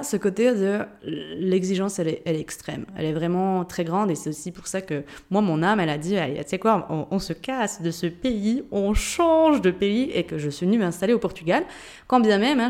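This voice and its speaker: A woman's voice: medium pitch, a little mellow. A woman from Switzerland with a Jura French accent, hosting a solo mindset podcast and talking straight to the listener in a chatty, informal way. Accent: Swiss French, Jura. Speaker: podcast host and mindset coach.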